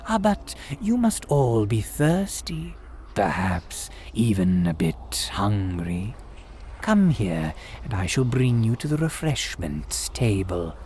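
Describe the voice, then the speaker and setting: A man's voice: on the low side, sing-song, slow pace, a little mellow, a little hiss or hum. A man playing a sinister, courteous graveyard priest who hosts in formal, archaic, theatrical diction, in the style of a gothic radio drama. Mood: eerie hospitality. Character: sinister, courteous old-fashioned graveyard priest guiding visitors. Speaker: host.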